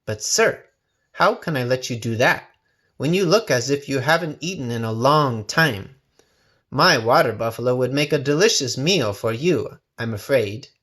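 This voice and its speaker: A man's voice: medium pitch, animated, normal speed, a bit bright. Man playing a shrewd, polite storybook farmer. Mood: wary, tactful. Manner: deferential, explanatory. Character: small male farmer, wary, polite and shrewd. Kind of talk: storybook character voice.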